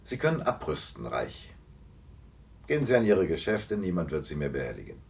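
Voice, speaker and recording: An actor in a radio play playing an authoritative police investigator, speaking in measured, deliberate phrases. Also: male voice, rumbling low, wildly swooping pitch, vocal fry, distant mic, exaggerated voice. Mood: controlled, frank. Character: calm, authoritative police investigator confronting a suspect he freed. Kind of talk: German radio play acting.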